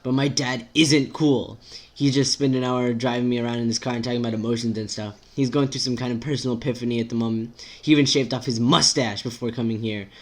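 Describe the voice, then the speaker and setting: A man's voice: medium pitch, steady tone, fast, natural voice. A man voicing an anxious, exasperated teenage boy, fretful and emphatic in his delivery. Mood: worried, exasperated.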